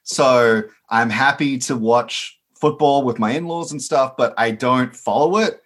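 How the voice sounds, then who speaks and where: Aussie guy guesting on a podcast, laid back chatty, mid pitch voice. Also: measured pace. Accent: Australian. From Australia. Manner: relaxed, chatty, laughs often. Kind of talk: casual chat.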